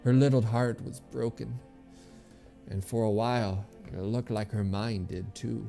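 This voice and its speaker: A man's voice: on the low side, fairly even intonation, a little slow, creaky, warm tone, soft background music. A man voicing a kindly, wistful old woman, a former nursemaid and healer, in a story read aloud. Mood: wistful, confiding.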